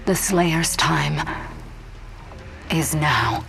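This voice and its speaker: An actress playing a grave woman scientist who records log entries in a solemn, reverent, breathy, creaky voice for a game. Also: deep voice, loud music behind the voice, heavily acted. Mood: reverent, fervent. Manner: solemn, reverent, slow and deliberate. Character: grave woman scientist turned fervent believer. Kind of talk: dramatic monologue, recorded log.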